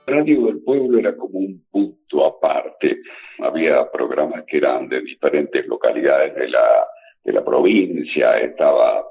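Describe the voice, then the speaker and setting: An older Argentine announcer from Buenos Aires reminisces conversationally. His voice is low and rough-edged, heard through narrow, muffled radio sound. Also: lively intonation, a little slow, soft background music.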